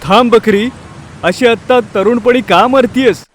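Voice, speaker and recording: A man voicing a kindly, steady male vulture in an animal story, reassuring and encouraging. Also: very high voice, unhurried, slight background noise. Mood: consoling.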